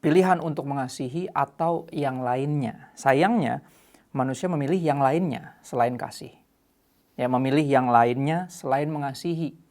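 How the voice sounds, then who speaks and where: Creaky-voiced Indonesian man hosting. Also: mid-range voice, normal speed, a little mellow. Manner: mixes English phrases into casual speech. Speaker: host. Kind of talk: casual youth talk show presenting.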